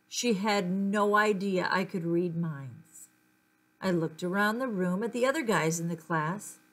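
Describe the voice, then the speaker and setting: Female narrator for a children's chapter book, medium pitch, expressive read-aloud. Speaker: story reader.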